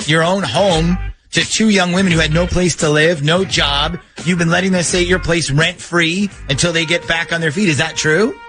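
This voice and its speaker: A man's voice: a little high, steady tone, normal speed, bright, forceful tone, soft background music. A man hosting a morning radio show, bantering his way through a prank phone call. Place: radio studio.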